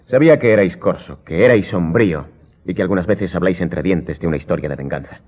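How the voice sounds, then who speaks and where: An actor playing a commanding count in an audio drama, formal, authoritative and coolly sardonic. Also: male voice, low voice, expressive, fast talker. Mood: stern, threatening. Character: commanding, coolly ironic count, master of the house. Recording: muffled, band-limited audio.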